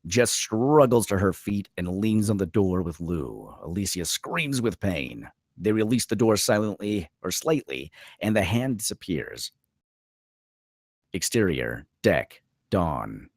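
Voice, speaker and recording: A man's voice: low voice, very animated, takes it slowly, dry recording, clean studio sound. A man reading stage directions as narrator at a screenplay table read.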